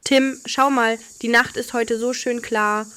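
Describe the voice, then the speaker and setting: A woman voicing a curious, gentle young girl full of wonder in a children's bedtime story. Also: on the high side, fairly even intonation, measured pace, smooth.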